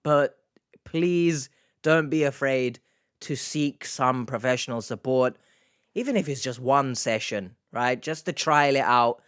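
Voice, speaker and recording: Slow, emphatic young man reacting. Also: mid-range voice, clear, resonant tone, no echo, clean studio sound. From England. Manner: animated, exasperated, mixes sarcasm with sympathy. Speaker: reaction video host. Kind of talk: casual commentary on a clip. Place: indoor room under lights.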